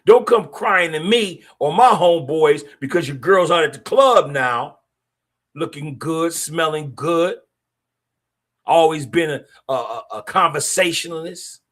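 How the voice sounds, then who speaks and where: A man's voice: a little high, lively intonation, unhurried, fairly bright tone. A middle-aged man, hosting a podcast and speaking bluntly in a streetwise, opinionated way. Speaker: podcast host. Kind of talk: casual monologue podcast.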